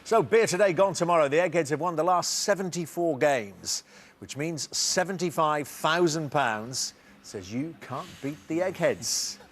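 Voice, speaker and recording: A man hosting a television quiz show, with a brisk, lightly teasing manner as he reads questions and chats with contestants. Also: on the high side, animated.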